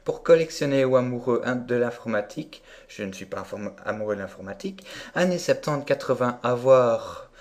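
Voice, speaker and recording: A man making an unscripted prank phone call as a would-be buyer, asking questions in a deadpan, hesitant, persistent way. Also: medium pitch, a little fast, few pauses. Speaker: prank caller posing as a buyer.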